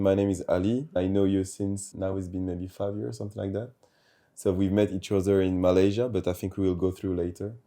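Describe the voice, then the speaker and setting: A man working in human resources, speaking as an interview guest in a measured, hedging way as he thinks aloud. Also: deep voice, mellow. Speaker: guest, HR professional. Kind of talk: casual interview conversation.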